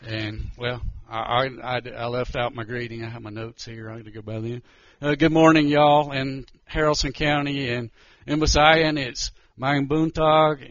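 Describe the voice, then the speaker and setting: Male missionary, nervous, halting, dry, muffled lo-fi sound. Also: medium pitch, fairly bright tone, dry recording. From Georgia, US. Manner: nervous, halting, many restarts. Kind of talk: church testimony talk.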